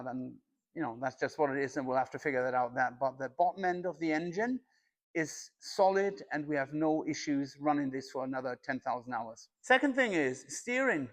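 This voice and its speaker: A man presenting an informal explainer vlog to viewers, speaking as a plain-spoken, matter-of-fact boat captain who goes through things methodically. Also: on the high side. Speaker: boat owner and video channel host, captain.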